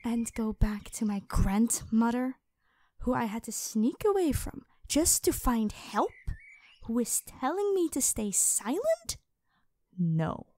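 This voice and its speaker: A woman playing a distressed but stubborn young woman in an audio roleplay, speaking with a pleading, halting manner. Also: a little high, sing-song, warm tone, no echo. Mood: bitter, defiant. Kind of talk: cinematic audio roleplay acting.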